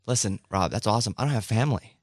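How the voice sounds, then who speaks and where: A man hosting a radio show in casual chat, rambling and cutting himself off, his voice cracking and going hoarse. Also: fairly low pitch, no room sound, clean studio sound. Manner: fast, rambling, self-interrupting. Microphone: studio microphone.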